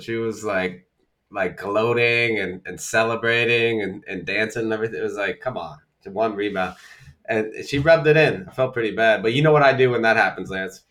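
A man's voice: lowish voice, a bit bright. A middle-aged man co-hosting a podcast in casual chat, teasing and joking with his co-host and guest.

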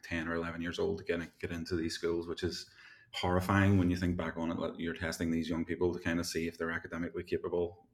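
A man, chatting as an interview guest over a video call, rambling with lots of fillers and laughs. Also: deep voice. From Ireland. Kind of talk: casual interview chat.

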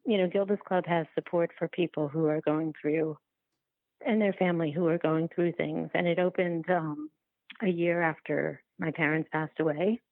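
A woman answering interview questions as a filmmaker, in a halting, conversational way with repeated words. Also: sits low, steady tone, no echo, phone-quality sound. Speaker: documentary filmmaker, podcast guest.

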